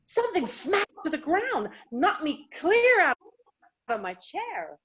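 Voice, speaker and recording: An actress playing a folksy, flustered farm owner in a radio play, talking haltingly and eagerly in rustic dialect. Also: female voice, very high voice, sing-song, fairly bright tone, slight background noise, full character voice, low-quality recording. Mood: excited, rambling.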